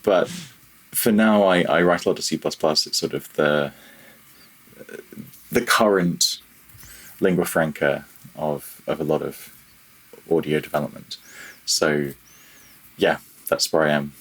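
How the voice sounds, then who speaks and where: A man's voice: extremely low pitch, steady tone, unhurried, long pauses, rough recording. A man talking casually as a technical interview guest, feeling his way through his thoughts and rephrasing as he goes. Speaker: podcast guest, audio programmer.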